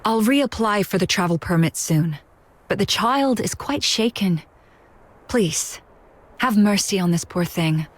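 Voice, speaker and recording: A woman voicing a shrewd, composed female tavern keeper in a video game, smooth-talking and self-assured. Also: mid-range voice, lively intonation, unhurried. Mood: pleading, persuasive.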